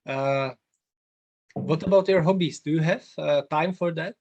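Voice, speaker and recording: A man's voice: a little high, a little slow, darkish tone, dull audio. A man hosting a podcast interview, asking questions in a hesitant way with many fillers. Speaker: podcast host.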